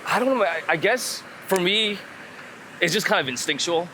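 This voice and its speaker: A man with a bright, slightly high voice talks casually in a walk-and-talk interview. He thinks aloud in a halting way, repeating words. Light city traffic sits behind him outdoors. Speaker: street photographer guest. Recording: outdoor street recording with city traffic noise. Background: urban ambience and vehicles.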